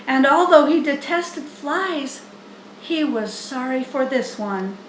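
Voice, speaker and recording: A woman's voice: sits high, lively intonation, slow, roomy sound, a little hiss or hum, rough recording. A woman reading a children's book aloud as its narrator. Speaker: storybook reader.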